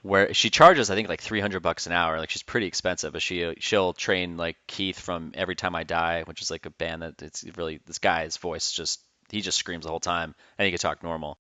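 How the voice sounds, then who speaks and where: A man's voice: sits low, fairly even intonation, brisk, hardly any pauses, pushed and bright, dry recording. A man hosting a podcast, chatting casually and enthusiastically, swearing freely. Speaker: podcast host. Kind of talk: casual interview chat. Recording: dull dry lo-fi sound.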